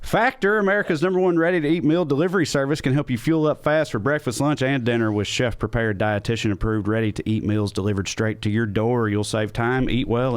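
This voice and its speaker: A man's voice: medium pitch, smooth. A man hosting a comedy podcast, talking in a casual, conversational way. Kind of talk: casual banter and host-read ad.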